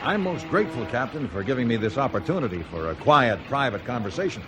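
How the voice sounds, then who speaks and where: An actor playing a smooth, insistent male company executive in a radio drama, courteous and deliberate in his phrasing. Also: medium pitch, very animated, music in the background, close to an everyday voice.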